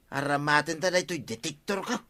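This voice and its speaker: A man's voice: a little high, fairly quick, pushed and bright, no room sound. A man with an Ilocano accent playing a stubborn, grumbling treasure-hunting villager in a Filipino comedy radio drama. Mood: eager.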